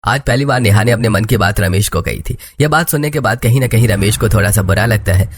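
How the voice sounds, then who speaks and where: A man's voice: lowish voice, fast talker, background noise. A man reading scripted narration for a moral story, with music behind him.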